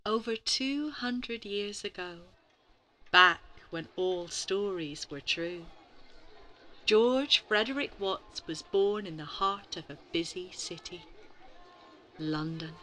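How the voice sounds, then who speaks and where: A woman storyteller narrating a children's bedtime story in a dramatic, deliberate way, with pauses and breathy emphasis. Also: on the low side, expressive, pushed and bright, rough recording. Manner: addresses young listeners with questions. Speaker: storyteller for a gallery's bedtime stories.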